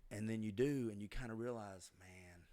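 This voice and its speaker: A man's voice: on the low side, moderate pace, warm tone. A middle-aged man on a podcast, sharing life lessons in a reflective, conversational way. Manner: reflective, advice-giving, conversational. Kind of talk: casual podcast chat.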